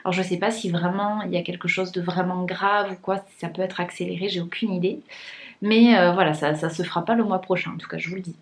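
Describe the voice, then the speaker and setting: A woman's voice: fairly low pitch, steady tone, fast, a little hiss or hum. A young woman talking casually to her vlog viewers, with many fillers and restarts and a blocked, congested nose. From France. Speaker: vlogger. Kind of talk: casual vlog chat to camera.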